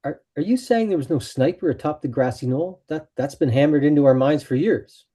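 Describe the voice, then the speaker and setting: A man playing a quiet, doubtful audience member in a screenplay table read, speaking in a hesitant, questioning way. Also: on the high side, animated, fairly quick, breathy edge.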